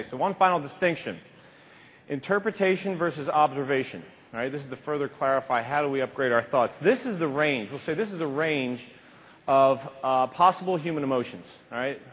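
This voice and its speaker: A man's voice: medium pitch, fairly bright tone, a little hiss or hum. A man in his fifties giving an emphatic, informal motivational keynote speech, repeating phrases for effect. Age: 50s. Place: large conference stage indoors. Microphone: lapel mic. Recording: reverberant large hall, slightly muffled speech.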